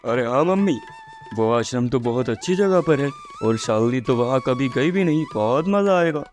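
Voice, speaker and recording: A man's voice: medium pitch, expressive, normal speed, darkish tone, close to an everyday voice. A man playing a practical, mild-mannered husband and son, explaining things matter-of-factly in a scripted moral story cartoon. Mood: agreeable. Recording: music behind the voice.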